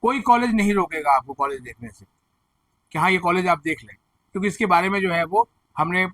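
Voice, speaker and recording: A man with a hoarse throat presenting education news, explaining admission figures and earnestly reassuring students and parents. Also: sits high, brisk, fairly bright tone, dry recording, rough recording. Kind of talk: direct-to-camera advisory commentary.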